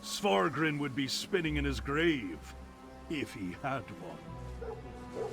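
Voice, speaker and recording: Sardonic grumbler, gravelly, slow drawl. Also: male voice, medium pitch, sing-song, over music. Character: sardonic man, mocking and bitter. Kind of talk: video game dialogue.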